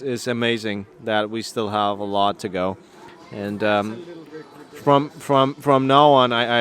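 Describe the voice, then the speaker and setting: A man's voice: fairly low pitch, a bit bright. A young man with a Danish accent, chatting casually as podcast co-host, rambling with many fillers and restarts. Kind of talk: casual chat.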